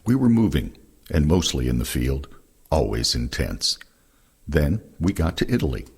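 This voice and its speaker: Very deep, gravelly, husky man. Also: very animated, normal speed, darkish tone. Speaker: reader of veterans' oral histories. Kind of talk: reading first-person war memoirs aloud.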